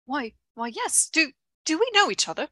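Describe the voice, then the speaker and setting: A woman's voice: high voice, big pitch swings, moderate pace, bright, forceful tone, voice pushed up, full character voice. A woman voicing an anxious, timid professor, stammering and melodramatic in a spooky radio drama. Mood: frightened, wary. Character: anxious, lost female professor, fretful and timid.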